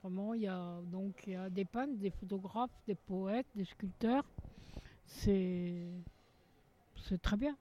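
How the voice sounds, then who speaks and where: A woman's voice: medium pitch, slow, warm tone, slight background noise. A woman chatting casually in an interview, searching for her words with lots of fillers. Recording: dull lo-fi recording.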